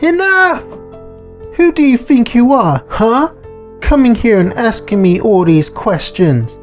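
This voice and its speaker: A man's voice: very high voice, lively intonation, slow pace, soft background music, pitched above the performer's own voice, exaggerated voice. A man voicing a rude, defiant teenage girl in a visual novel, snappy and dismissive. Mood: furious.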